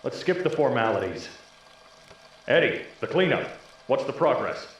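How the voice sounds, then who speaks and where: An actor playing a brisk, commanding corporate boss in a video game audio log. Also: male voice, mid-range voice, big pitch swings, lightly acted. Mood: businesslike, impatient. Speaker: head of innovation in an audio log. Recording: echoey room, faint hiss.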